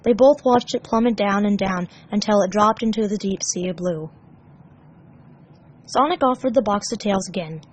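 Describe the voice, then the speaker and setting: A woman's voice: mid-range voice, moderate pace. A woman narrating a scripted story as a fan fiction reader.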